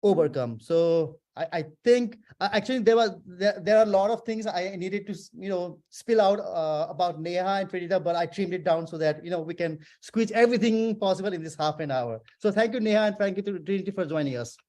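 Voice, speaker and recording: A male host from Nepal speaks in a high, light voice. He runs a casual interview with playful, teasing questions. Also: brisk, hardly any pauses, mellow.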